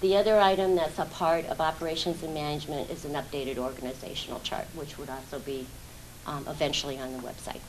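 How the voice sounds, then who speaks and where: Lower-voiced woman presenting at a meeting. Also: steady tone, fast talker, slight background noise, poor audio. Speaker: housing authority staff presenter.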